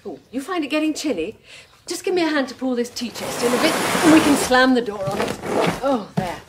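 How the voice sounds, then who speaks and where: An actress playing a polite, well-spoken young wife newly moved to the country in a radio drama. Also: female voice, high-pitched, lively intonation, fairly quick, heavy background noise. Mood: friendly.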